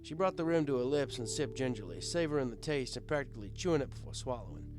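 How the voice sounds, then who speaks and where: A man narrating a comic first-person story in a wry, expressive, conversational storyteller's way. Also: medium pitch, fast, music in the background. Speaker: audiobook narrator.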